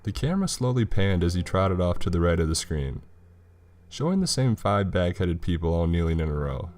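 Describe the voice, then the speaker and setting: A man's voice: sits low, sing-song, a little fast, darkish tone. A man reading a scripted horror story as its narrator. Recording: music behind the voice. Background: background music.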